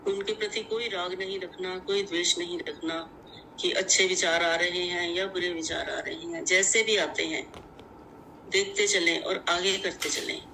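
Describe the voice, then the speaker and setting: A woman leads a guided meditation in measured, deliberate, instructive short phrases. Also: on the low side, fairly even intonation, background noise.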